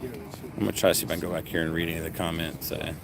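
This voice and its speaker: A man's voice: low voice, level tone, racing, gravelly, noisy background. A man livestreaming from an outdoor festival line in a casual, rambling way, with lots of fillers, restarts and self-deprecating jokes. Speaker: vlogger streaming live. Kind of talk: casual live stream commentary. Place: outdoors in a long festival queue near traffic. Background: crowd chatter.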